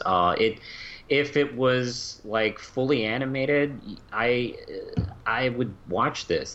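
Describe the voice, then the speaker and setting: Male co-host, lively swinging delivery, halting casual discussion, slightly dull recording. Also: lowish voice. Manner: conversational, halting, thinking aloud. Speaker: podcast co-host. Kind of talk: casual review chat.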